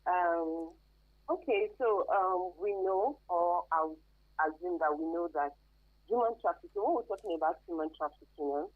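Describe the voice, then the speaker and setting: A woman's voice: on the low side, moderate pace, no room sound. A woman with a Nigerian accent giving emphatic, conversational interview answers as a guest expert, over narrow phone-like radio sound. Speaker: guest expert, career advisor.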